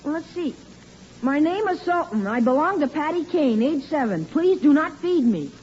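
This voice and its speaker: Casting: performer as young American son for vintage radio comedy, high and lively, eager, muffled noisy sound. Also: moderate pace. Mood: candid. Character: eager young son, a boy, puncturing his dad. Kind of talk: 1940s radio sitcom acting.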